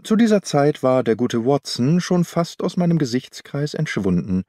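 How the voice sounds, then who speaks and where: A man narrates a literary audiobook in a measured, articulate way. His voice sits at a middling pitch, and the recording is dry, with hardly any room around it. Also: very animated, mellow, no echo. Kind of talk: scripted literary narration, first-person storytelling.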